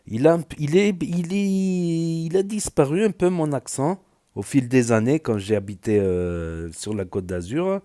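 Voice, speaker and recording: A man's voice: a little high, expressive, a little slow, warm tone. A man with a southwestern French accent giving rambling, casual reaction commentary as a video host, full of fillers and restarts. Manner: rambling, filler-heavy, many "voilà" and "euh". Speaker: YouTube news commentator.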